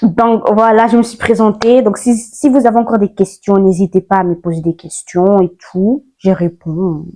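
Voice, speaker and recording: A woman's voice: medium pitch, level tone, moderate pace, mellow. A nineteen-year-old woman from Ivory Coast, chatting playfully and sassily to camera in a casual vlog. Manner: chatty, playful, sassy, mixes slang. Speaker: YouTuber.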